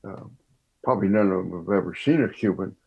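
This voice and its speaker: An older man, a professor, speaking as an interview guest in a measured, slightly formal way. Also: fairly low pitch, gravelly, husky, lo-fi sound. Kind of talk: reflective interview talk.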